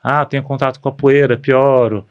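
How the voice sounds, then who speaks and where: A man with a Brazilian accent speaks as a medical specialist in a podcast conversation. His voice is mid-pitched for a man, and he explains things in an informal, practical way. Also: little pitch movement, moderate pace, clean tone, lo-fi sound. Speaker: podcast guest, ENT doctor.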